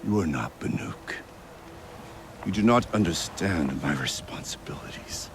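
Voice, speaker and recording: A gravelly, proud hunter chief. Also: male voice, sits low, animated, unhurried, noisy background. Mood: stern. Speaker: game character.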